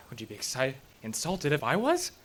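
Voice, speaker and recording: A man's voice: fairly high pitch, huge pitch swings, measured pace. A man playing a cautious, rule-minded park ranger in a live audio drama, exasperated and dryly wary. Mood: winded, exasperated. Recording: noisy live stage recording with clicks.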